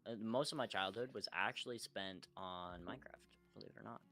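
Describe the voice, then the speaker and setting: A man's voice: fairly low pitch, brisk, creaky, a crowd in the background. A young man chatting casually about video games as a podcast panelist, in informal speech peppered with filler words. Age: young adult. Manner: informal, repeats "like" often.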